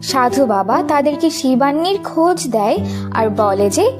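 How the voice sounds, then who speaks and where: Female narrator for kids' cartoon tale: high voice, slow scripted delivery, faint music underneath. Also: expressive.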